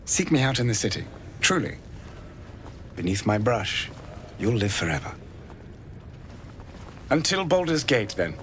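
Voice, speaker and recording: Slow, theatrical painter, swooping delivery. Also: male voice, mid-range voice, big pitch swings, fairly bright tone, slight background noise. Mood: grateful, flamboyant. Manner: florid, theatrical, hesitant. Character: florid, lovelorn male fantasy painter, theatrical and self-dramatizing. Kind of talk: video game character dialogue. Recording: game dialogue over background music.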